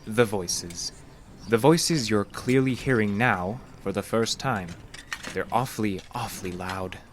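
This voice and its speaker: A man playing a calmly menacing, condescending counselor in a horror audio drama, speaking deliberately and tauntingly. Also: on the low side, slight background noise, lightly acted. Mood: smug, probing. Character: sinister, calmly menacing male counselor secretly drugging his patient.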